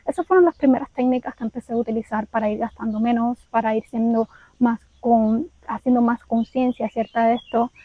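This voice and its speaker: Cuban woman vlogger, candid and reflective, slightly raised pitch, dry close sound. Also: steady tone, brisk, no echo, phone-quality sound. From Cuba. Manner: candid, reflective, speaks directly to viewers. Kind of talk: personal vlog storytelling.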